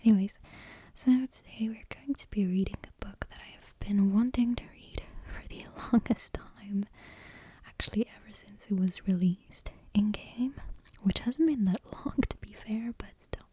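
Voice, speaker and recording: A woman speaking very softly in a breathy near-whisper close to the microphone, gently hosting bedtime-story ASMR. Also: mid-range voice, normal speed, a little hiss or hum. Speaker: ASMR channel host. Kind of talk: soft-spoken, whispery ASMR, intro and bedtime story reading. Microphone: close ASMR microphone with headphone monitoring.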